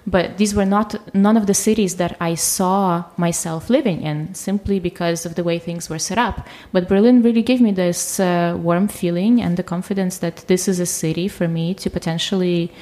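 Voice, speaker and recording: Interview guest: young woman, Ukrainian-born, lowish voice, relaxed, full of fillers. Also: moderate pace, hardly any pauses. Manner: relaxed, lots of fillers and restarts. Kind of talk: casual interview conversation.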